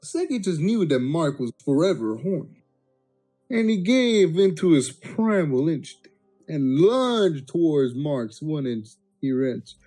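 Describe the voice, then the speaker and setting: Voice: medium pitch, big pitch swings, unhurried, warm tone, faint music behind. A person giving a crude, mock-dramatic reading of a comic story, sometimes breaking off into blunt asides. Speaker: amateur story reader.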